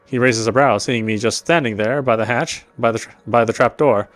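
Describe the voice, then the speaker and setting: A man's voice: mid-range voice, brisk, no room sound. A man reading visual novel narration aloud as a let's player, sometimes stumbling and rereading phrases.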